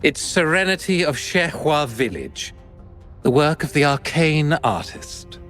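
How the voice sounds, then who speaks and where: A man's voice: a little high, sing-song, measured pace, fairly bright tone, soft background music. A man voicing a smug, scheming male art dealer in a video game, condescending and dismissive.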